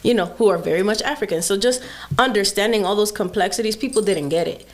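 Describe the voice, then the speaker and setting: A woman's voice: medium pitch, fast. A young woman whose first language is Spanish, raised in a Dominican home in the United States, speaking candidly and reflectively as an artist guest on a podcast. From United States, Dominican family. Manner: reflective, candid, laughs often. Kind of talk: casual podcast interview.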